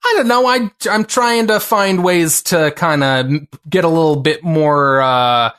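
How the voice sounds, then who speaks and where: Halting, rambling podcast co-host man. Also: fairly high pitch, fairly bright tone, smooth. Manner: halting and rambling, lots of restarts. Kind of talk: casual chat.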